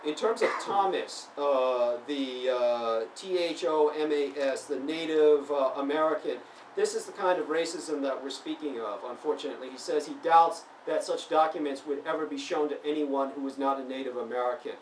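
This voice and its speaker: A man's voice: fairly high pitch, moderate pace. Man born in Taiwan, lecturing style, heated rebuttal, picked up from a distance in a reverberant convention room, faint hiss. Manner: lecturing, grows heated and emphatic. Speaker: interviewee. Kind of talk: monologue answering online comments. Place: convention venue. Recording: roomy, distant mic, faint background noise.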